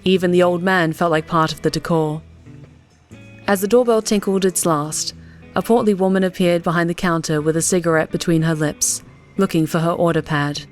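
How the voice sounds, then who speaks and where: A woman's voice: fairly low pitch, fairly even intonation, normal speed. A woman reading scripted fiction as an audiobook narrator. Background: faint music.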